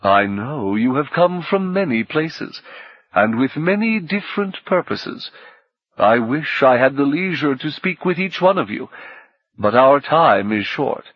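A man voicing a courtly, benevolent host who speaks formally and deliberately in an audiobook. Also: mid-range voice, sing-song, no room sound, dull audio, pitched above the performer's own voice. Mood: grand, welcoming. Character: courtly male host, grand, benevolent, a little puzzled.

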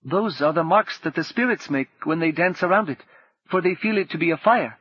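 A man's voice: fairly high pitch, lively intonation, a little fast, breathy edge, dull audio, voice pushed up. A man reading an audiobook, voicing an earnest male guide who speaks solemnly and simply. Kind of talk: audiobook character voice.

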